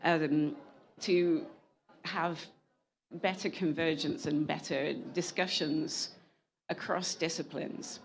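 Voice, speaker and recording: A woman's voice: sits low, fairly even intonation, slow, vocal fry, poor audio. A woman speaking earnestly on a conference panel, often restarting her sentences. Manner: earnest, with frequent restarts and self-corrections. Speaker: panel speaker, climate scientist and engineer.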